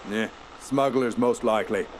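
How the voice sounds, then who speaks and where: An actor playing a bold, commanding ship's captain in a radio drama, hearty and used to giving orders. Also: male voice, mid-range voice, moderate pace, very noisy, natural voice. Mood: wry, steady. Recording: loud storm and ship effects.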